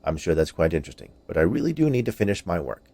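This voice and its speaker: A man's voice: low-pitched, big pitch swings, very fast, creaky, mellow, no room sound, heavily acted. Storybook health inspector, man acting: fussy, precise, formal and dry, businesslike male voice. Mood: impatient, distracted. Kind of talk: dramatized short story reading.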